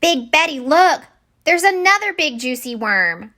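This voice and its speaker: A woman voicing a tiny, friendly young minnow in a picture book, chirping with earnest excitement. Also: high-pitched, a little slow, pitched above the performer's own voice, exaggerated voice. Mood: excited.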